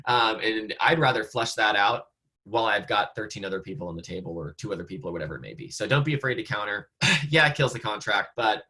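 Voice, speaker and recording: A man with an American accent, slightly hoarse, teaching real estate agents over a video call in a casual way, with lots of fillers and restarts. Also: on the low side, pushed and bright. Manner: conversational, many fillers and restarts. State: slightly hoarse, losing his voice. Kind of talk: online training talk.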